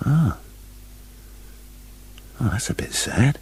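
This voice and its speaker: A man's voice: medium pitch, animated, takes it slowly, a little mellow, breathy edge, in a whisper, exaggerated voice. An actor playing a sardonic, put-upon male wolf in a comic radio play, with a dry, argumentative, incredulous manner. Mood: glum.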